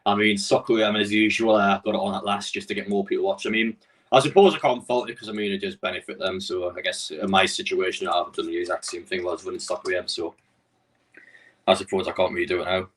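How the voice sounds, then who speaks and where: A man with a Geordie accent hosts a casual live stream and rattles along quickly in a low voice. He rambles and answers his viewers' messages as he goes. His voice sits back from the microphone, and keyboard clicks come through now and then. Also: steady tone, a bit bright, distant mic, poor audio. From Newcastle.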